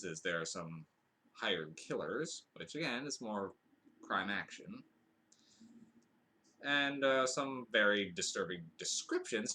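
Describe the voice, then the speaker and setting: A man's voice: lowish voice, bright, forceful tone. A man hosting a podcast, giving a casual, rambling film review full of fillers and restarts, with dry asides.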